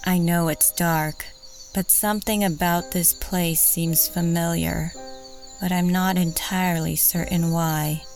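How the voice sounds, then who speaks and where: A woman playing a wary, subdued female wanderer in a fantasy audio drama, speaking in a measured, grave way. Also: on the low side, steady tone, a little mellow, faint music behind. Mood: uncertain, subdued.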